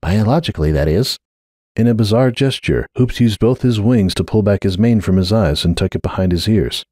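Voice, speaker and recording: Male storyteller, fairly low register, dry studio sound, expressive scripted narration for fiction. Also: fairly quick, no echo.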